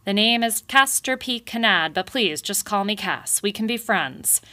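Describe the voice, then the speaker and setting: A woman's voice: mid-range voice, lively intonation, moderate pace, sharp tone, voice pushed up. Woman doing a blustering bossy beaver chief. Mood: boastful smooth. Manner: bellowing slogans. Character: blustering male beaver leader, bossy and boastful.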